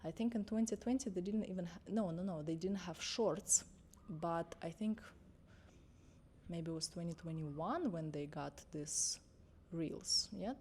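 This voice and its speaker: A young woman talks straight to her phone camera, unscripted and chatty. Her voice sits low for a woman, and she often stops to correct herself while keeping a reassuring tone. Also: unhurried, darkish tone, slight background noise. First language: Russian. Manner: conversational, self-correcting, reassuring. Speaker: confidence and mindset coach. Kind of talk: unscripted vlog talk to camera.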